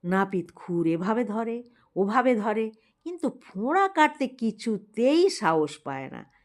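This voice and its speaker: Female narrator for a folk tale: unhurried, deliberate, expressive reading, medium pitch. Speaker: storyteller. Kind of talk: scripted story narration, reading a Bengali humorous folk tale aloud.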